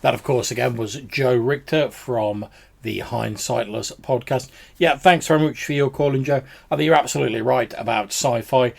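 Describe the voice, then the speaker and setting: A man's voice: mid-range voice, normal speed, vocal fry. A man hosting a tabletop gaming podcast, answering listener voicemails in a rambling, casual way full of "sort of" and "like". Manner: rambling, many fillers like "sort of". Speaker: podcast host.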